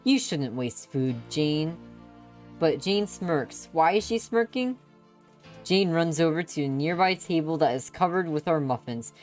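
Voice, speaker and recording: A woman's voice: low-pitched, normal speed, darkish tone. A woman reading a story aloud on a stream with dramatic, playful expression. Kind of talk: expressive live story narration. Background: background music.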